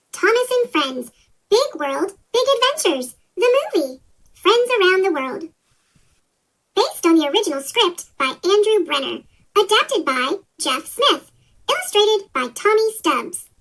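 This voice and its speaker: Chipmunk-voiced woman reading a children's story. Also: a bit bright. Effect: sped-up chipmunk pitch-shift voice effect. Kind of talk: scripted read-aloud narration of a children's story.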